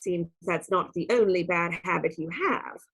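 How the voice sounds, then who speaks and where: An actress playing an earnest, proud and stern nun in a radio play, with a prim, formal, firm delivery. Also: female voice, on the low side, unhurried, breathy edge. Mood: disapproving.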